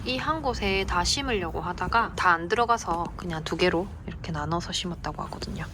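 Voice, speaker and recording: A woman narrating a plant vlog in a gentle, unhurried way with small hesitations. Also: on the low side, expressive. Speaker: succulent vlogger. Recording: noisy home recording.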